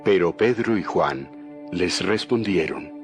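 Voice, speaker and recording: Deep, slow male Bible narrator. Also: expressive. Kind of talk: scripted dramatized Bible narration. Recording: music bed behind the voice.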